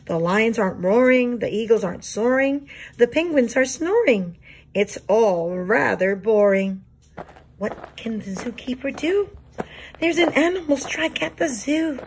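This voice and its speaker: Animated, higher-voiced woman reading a storybook. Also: unhurried, husky. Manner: expressive, explanatory. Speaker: teacher reading aloud to children. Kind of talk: storybook read-aloud with explanations.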